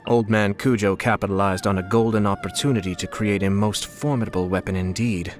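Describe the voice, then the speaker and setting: A man voicing a refined, suave young nobleman and clan head in a video game, coolly shrewd, with polished, measured, formal diction. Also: on the low side, steady tone, natural voice. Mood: composed, amused. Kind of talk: scripted video game character dialogue. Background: background music.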